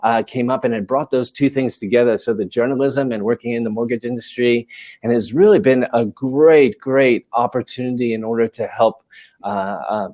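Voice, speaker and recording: A man's voice: mid-range voice, a little mellow. A man from New York City with an American accent, telling his own story conversationally as a panel guest. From New York City, USA. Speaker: panel guest, managing editor. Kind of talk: conversational personal account.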